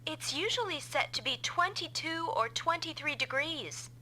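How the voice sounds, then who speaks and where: A woman's voice: high-pitched, lively intonation, normal speed, pushed and bright, a little hiss or hum. An actress playing an alert, persistent and inquisitive young female pilot in an anime-style video game dub. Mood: curious.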